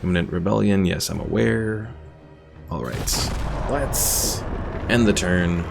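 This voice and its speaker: A man's voice: deep voice, slow, creaky. A man giving casual live commentary as a game streamer, chatty and thinking aloud. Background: game music.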